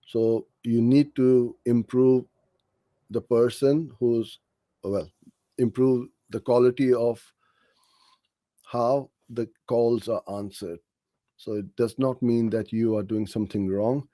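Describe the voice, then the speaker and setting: A man's voice: medium pitch, steady tone, takes it slowly, mellow, no room sound. A man coaching in an explanatory, off-the-cuff way, talking through practical advice with examples. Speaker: digital marketing coach answering a question.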